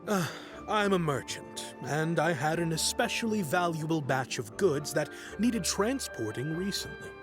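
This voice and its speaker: A man's voice: a little high, animated, a little slow, few pauses, music in the background. A man playing a chatty, deferential merchant in a video game. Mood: impressed. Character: chatty, satisfied male merchant.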